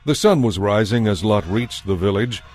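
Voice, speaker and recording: A man's voice: lowish voice, expressive, moderate pace, darkish tone. A man giving scripted dramatic narration of a Bible story as the narrator.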